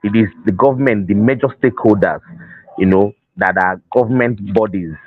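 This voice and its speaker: Male interview guest, Nigerian accent, slightly low pitch, dry recording, explanatory delivery with rephrasing. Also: normal speed, no echo, phone-quality sound. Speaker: interview guest, festival organiser. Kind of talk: unscripted interview talk.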